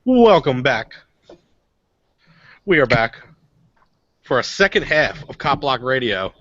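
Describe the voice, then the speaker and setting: A man's voice: medium pitch, big pitch swings, takes it slowly, fairly bright tone. A man hosting a live radio show in a casual style over lo-fi online audio. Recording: dull, dry lo-fi online audio.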